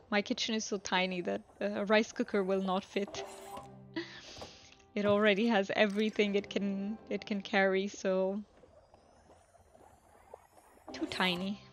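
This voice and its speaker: A woman is live streaming a video game and chatting casually to her viewers. Her voice sits at a medium pitch, and her delivery is relaxed and rambling, often thinking aloud. Faint music plays behind her. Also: lo-fi sound. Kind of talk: casual chat while playing a video game.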